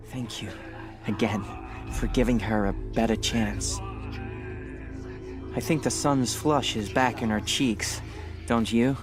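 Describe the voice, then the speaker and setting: An actor playing a humble, anxious brother in a video game, hesitant and earnest. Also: male voice, medium pitch, fairly even intonation, slow, pauses often, music in the background, natural voice. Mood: grateful, hesitant. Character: humble, grateful, anxious brother of sick girl. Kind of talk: cinematic video game acting.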